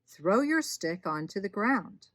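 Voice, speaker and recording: A woman voicing God in a children's storybook as a commanding, kindly presence, solemn and deliberate. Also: medium pitch, big pitch swings, dry recording, studio quality.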